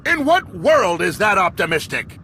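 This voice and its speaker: An actor playing an earnest, easily indignant official in a sci-fi video game, speaking in an urgent, combative way. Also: male voice, high-pitched, sing-song, normal speed, pushed and bright, a little hiss or hum, heavily acted. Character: earnest, indignant male official distrustful of corporate crooks. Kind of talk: video game cutscene acting.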